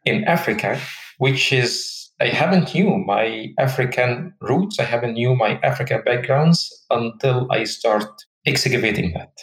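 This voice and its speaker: A man's voice: mid-range voice, a little slow, fairly bright tone, roomy sound, low-quality recording. A man in his forties from Egypt with an Egyptian Arabic accent, telling his life story earnestly as an interview guest and searching for words in his second language. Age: 40s.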